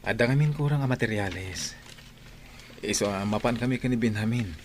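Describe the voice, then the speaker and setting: A man's voice: lowish voice, brisk, slight background noise, close to an everyday voice. A man playing an earnest, dutiful young married father in a radio drama. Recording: music and crowd sounds behind.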